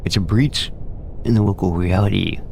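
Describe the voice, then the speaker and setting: A man playing a grave, conspiratorial investigator narrating a scripted horror audio drama, with a gravelly voice and ominous, deliberate pauses. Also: low voice, darkish tone, slight background noise. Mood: ominous, secretive. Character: grave, conspiratorial male investigator broadcasting from a forbidden zone. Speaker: host and narrator.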